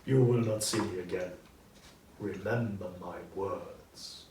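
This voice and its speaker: A man's voice: sits low, voice pushed down. A man voicing the anguished, regretful ghost of a dead businessman in a storybook reading, with solemn, emphatic delivery. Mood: solemn warning. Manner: slow, solemn, emphatic declamation. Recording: roomy echo, distant mic, background noise.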